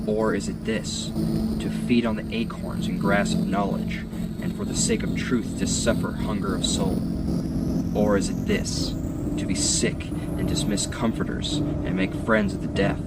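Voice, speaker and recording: A man giving a solemn, measured literary reading in a declamatory, rhetorical manner, as an audiobook narrator. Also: lowish voice, steady tone, very noisy. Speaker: audiobook reader. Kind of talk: solemn literary reading of archaic philosophical text. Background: music and sound design behind the voice.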